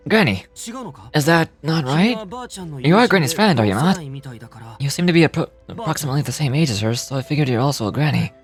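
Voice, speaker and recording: A man's voice: on the high side, animated, quick pace, music in the background. A man voicing an earnest, literal-minded young male video game character with formal, theatrical phrasing. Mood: earnest puzzlement.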